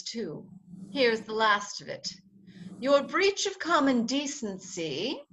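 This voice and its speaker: Woman playing high-voiced, swooping upper-class bride. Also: fairly bright tone, rough recording. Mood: hurt, resolute. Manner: theatrical, emotional. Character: proud, agitated upper-class bride, self-mocking. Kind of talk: radio play acting. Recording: noticeable background noise.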